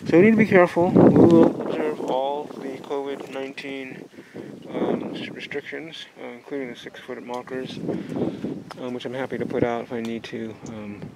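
A man's voice: medium pitch, fairly even intonation, moderate pace. A man showing off his sailboat on a marina dock, talking in a rambling, upbeat way with lots of fillers. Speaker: skipper of a youth sailing nonprofit. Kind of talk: casual walkthrough vlog. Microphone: handheld camera mic. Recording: loud wind and water noise outdoors. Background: wind and boat ambience.

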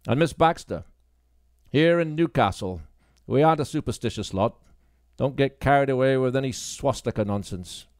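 A male narrator plays a wartime policeman in an audiobook mystery. He is brusque, matter-of-fact and quick to dismiss, in a dry, close recording. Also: mid-range voice, expressive, a little mellow, no room sound, close to an everyday voice. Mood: dismissive.

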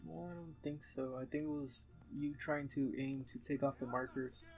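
A man's voice: mid-range voice, fairly even intonation, normal speed, soft background music. A young man making casual remarks as he watches a friend play a video game. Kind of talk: casual chat over gameplay.

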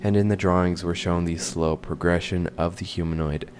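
A man's voice: deep voice, fairly even intonation, moderate pace, a little mellow, slight background noise. A young adult man giving scripted narration and commentary as a video host, close to a desk microphone in a small room at home. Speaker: host of horror video essay channel. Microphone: large desk microphone, close. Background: music.

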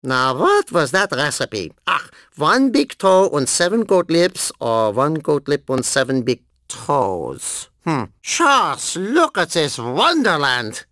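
Casting: mad old cyborg villain, game. German accent, bright forceful high voice, swooping delivery, gleeful menace. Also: male voice, takes it slowly, hardly any pauses, no echo, clean studio sound, heavily acted. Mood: musing, whimsical. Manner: hammy, excitable, gleefully menacing, interjecting "yeah?". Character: deranged gleeful old German cyborg theme park owner. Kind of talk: video game character voice clips.

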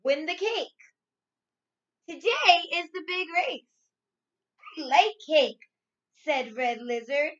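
A woman in her thirties, a primary school teacher reading and explaining to young children in an expressive, clearly enunciated way. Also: high voice, slow, long pauses, muffled recording. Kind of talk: read-aloud story and lesson for young children. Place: small furnished room at home, indoors.

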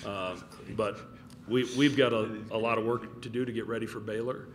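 A college basketball coach in his fifties talks into a table mic at a press conference, relaxed and rambling, full of "you know" and restarts. His voice sits a bit low. Steady room noise hums behind him. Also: moderate pace.

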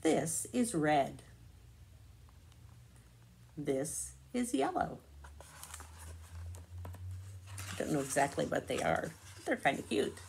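Slow, expressive older woman reading to children. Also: sits low, gravelly. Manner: deliberate, expressive, explaining as she goes. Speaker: children's storybook reader. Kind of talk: read-aloud storytelling to young children with asides. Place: small living room, indoors. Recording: noisy amateur home recording.

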